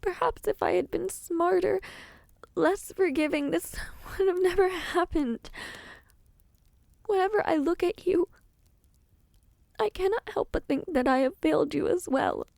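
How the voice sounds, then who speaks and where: A woman playing an anguished, self-loathing young princess in a dramatic audio roleplay, speaking in a formal, halting way. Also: extremely high pitch, expressive, gravelly, darkish tone, no room sound, clean studio sound, heavily acted. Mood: regretful, distraught.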